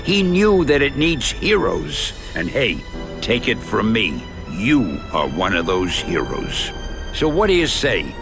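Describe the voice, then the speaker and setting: An actor playing a kindly, folksy old mentor in a video game, gravelly and encouraging. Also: male voice, lowish voice, huge pitch swings, takes it slowly, over music, heavily acted. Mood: rousing, fond. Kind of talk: video game dramatic acting.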